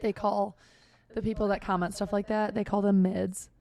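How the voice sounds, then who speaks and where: A young woman co-hosts a casual podcast. Her voice has a gravelly vocal fry. She chats and laughs freely, slipping into little quoted voices. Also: mid-range voice, flat delivery, normal speed, warm tone. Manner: chatty, laughs often, acts out quotes. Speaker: podcast co-host. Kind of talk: casual chat.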